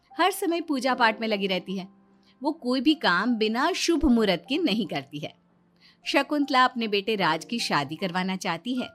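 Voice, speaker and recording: A woman's voice: medium pitch, a bit bright. A woman telling a scripted moral story as its narrator.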